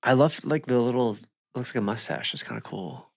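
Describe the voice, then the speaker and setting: A man's voice: mid-range voice, big pitch swings, a little fast, gravelly, no echo. A man co-hosting a podcast in casual comedic banter, with playful, mock-formal quips. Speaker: podcast co-host.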